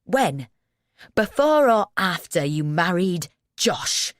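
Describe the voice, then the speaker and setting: Woman voicing a young guy losing it, shouting and stuttering, sarcastic, dead dry sound. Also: lowish voice, very animated, takes it slowly, dry recording. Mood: accusing. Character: hurt, furious young man shouting.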